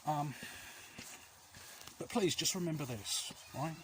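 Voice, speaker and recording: A man's voice: a little high, takes it slowly. A man giving earnest, reassuring advice in a casual, rambling chat to viewers as he walks outdoors. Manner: rambling, often losing his thread. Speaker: vlog host. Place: outdoors, walking along alleys. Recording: outdoor walk with clear ambient noise. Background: outdoor ambient noise.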